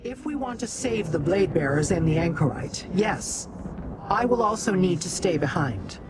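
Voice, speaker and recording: A woman's voice: fairly low pitch, expressive, normal speed, heavy background noise, natural voice. A woman as a grave, formal mystic guide. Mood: grave, urgent. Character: solemn, urgent mortal-addressing mystic guide and schemer. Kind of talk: fantasy video game acting. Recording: game music behind.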